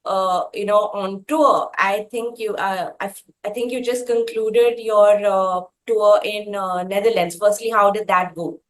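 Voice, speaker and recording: A woman with an Indian accent hosting an interview, putting her questions politely in a halting, wordy way. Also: medium pitch, fairly even intonation.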